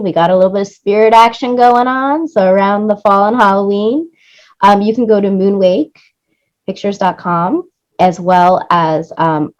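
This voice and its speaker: A woman's voice: mid-range voice, a little mellow, dull audio. A woman speaking as an interview guest in an enthusiastic, effusive way, often repeating phrases for emphasis. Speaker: interview guest, music supervisor and producer.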